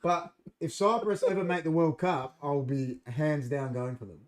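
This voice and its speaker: A man's voice: a little high, moderate pace. A young man co-hosting a casual podcast, emphatic and swearing freely as he argues about football. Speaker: podcast co-host. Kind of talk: casual chat.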